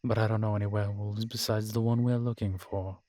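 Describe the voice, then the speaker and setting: A man playing a world-weary, morose male vampire in a roleplay audio drama, speaking in a measured, formal, brooding manner with sighs and pauses. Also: lowish voice, flat delivery, dark tone, no room sound, close to an everyday voice. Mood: worried, grim. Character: weary old male vampire, morose realist, formerly cruel.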